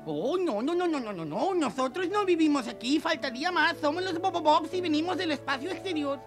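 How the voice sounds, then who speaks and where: A woman's voice: sits high, animated, fairly quick, over music. A woman voicing a naive, eager little male gnome in a Spanish cartoon dub. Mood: indignant.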